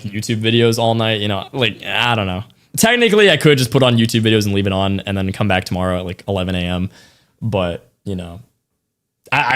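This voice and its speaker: A young man with a slightly raspy voice, worn out after a long stream, chatting casually to his viewers and thanking donors with lots of slang. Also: fairly low pitch, fairly bright tone. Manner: loose, thanks donors, slang-heavy, trails off. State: tired, voice getting raspy. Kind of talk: casual livestream chat to viewers.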